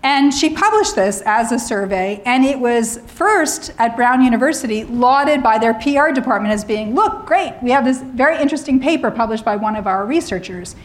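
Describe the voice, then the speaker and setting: Woman lecturer clearing her throat. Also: fairly high pitch, expressive, normal speed, few pauses. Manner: frequent throat clearing, wry asides. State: slightly hoarse, clearing throat. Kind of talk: lecture on academic freedom.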